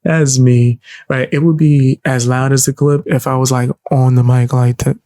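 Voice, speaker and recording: A man with a soft, low voice hosting a reaction stream, chatting casually and joking in slang into a close desk mic. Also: little pitch movement, normal speed, no echo. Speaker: streamer host.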